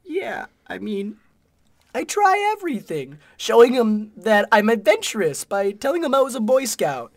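A man's voice: high voice, big pitch swings, moderate pace, no room sound, full character voice. A man playing a boring, insecure and mildly exasperated caller in a comedy radio play, meek, hesitant and prone to rambling.

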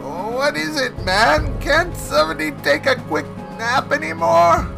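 A man's voice: very high voice, measured pace, vocal fry, over music, pitched above the performer's own voice, full character voice. A man voicing a cranky, cackling old male professor who drags his words out distractedly.

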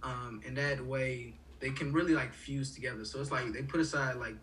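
A young man from Haiti talks loosely, with lots of fillers and restarts. His voice is bright and forward. He sounds a bit away from the mic, with a faint hiss of background noise behind him. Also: mid-range voice, fairly even intonation, moderate pace, far from the mic, rough recording. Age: young adult. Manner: rambling, many fillers and restarts. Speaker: college student guest. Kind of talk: casual conversation.

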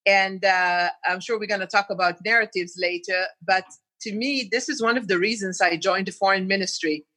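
A woman in her fifties from Israel talks as a guest diplomat, picking her words with care and often restarting her sentences. Her voice is bright and forward. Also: mid-range voice, fairly even intonation, normal speed. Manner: thoughtful, searching for words with many restarts. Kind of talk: podcast interview.

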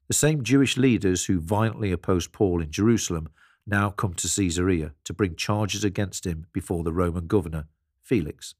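A man with a deep voice reads a prepared devotional. His delivery is reflective and measured, recorded close and dry with no room sound. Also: a little mellow, no room sound. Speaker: devotional reader. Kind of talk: scripted devotional narration.

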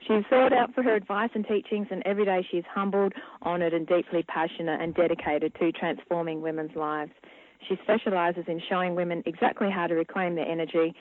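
Aussie woman hosting radio interview, polite, dry thin phone-like audio, medium pitch. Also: dry recording. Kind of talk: formal guest introduction and interview. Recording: phone-like narrow radio sound.

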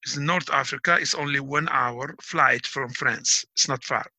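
Bright-voiced man explaining like a teacher. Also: mid-range voice, normal speed, no room sound. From Algeria. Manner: proud, emphatic. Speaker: interviewee. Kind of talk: casual interview talk.

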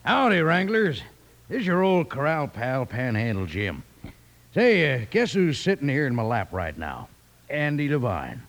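Friendly corral cowboy, gravelly, swooping animated delivery, medium pitch, old radio advert. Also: male voice, measured pace, slight background noise. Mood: jovial. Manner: animated, folksy Western exclamations. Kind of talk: 1950s radio commercial for children.

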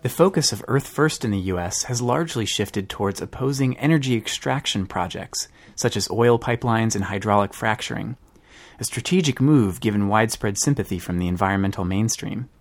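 Low-voiced man reading scripted narration. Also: a little fast.

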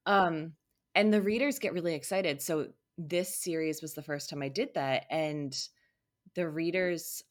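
A woman's voice: deep voice, measured pace. A woman co-hosting a podcast who tells stories and acts out what other people said. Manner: storytelling, acts out quotes. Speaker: podcast co-host and author. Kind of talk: casual podcast chat.

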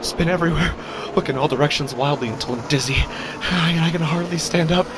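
A man playing an unhinged narrator in a first-person horror audio drama, speaking in a halting, intense, dramatic way and repeating phrases. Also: on the high side, noisy background, voice pushed up, lightly acted. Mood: panicked, frantic. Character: unhinged man narrating his horrifying loss of sight.

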